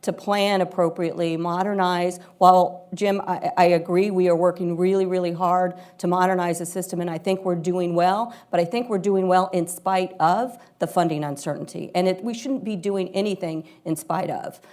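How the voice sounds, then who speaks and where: Lower-voiced woman answering on a panel. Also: fairly even intonation, normal speed, hardly any pauses, a little mellow. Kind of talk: panel discussion, spontaneous answer. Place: live panel event before an audience.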